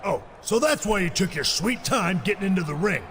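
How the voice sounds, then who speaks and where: A man's voice: high voice, expressive, brisk, fairly bright tone, in a large hall, slight background noise. A man voicing an irritated wrestling champion who complains in an indignant way.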